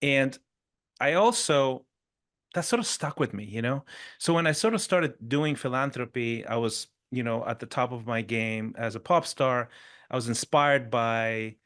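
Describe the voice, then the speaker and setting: A man's voice: mid-range voice, a little slow, a bit bright. A middle-aged man from Chile with a mid-range voice, talking through his story. He speaks loosely and conversationally, often adding "sort of" and tagging "right?" onto his points. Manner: frequent "sort of" and "right?" tags, brief restarts. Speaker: podcast guest, performance coach.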